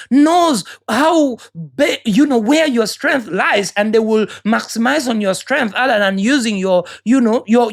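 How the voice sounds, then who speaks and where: A woman from Uganda with a Ugandan accent, giving an earnest, preachy motivational talk and often repeating words as she searches for the next one. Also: on the high side. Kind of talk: motivational monologue.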